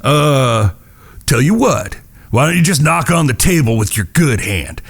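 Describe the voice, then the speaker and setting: A man playing a vengeful, coldly taunting gunslinger in a Western audio drama, with a drawl, husky and profane. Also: mid-range voice, very animated, measured pace, exaggerated voice.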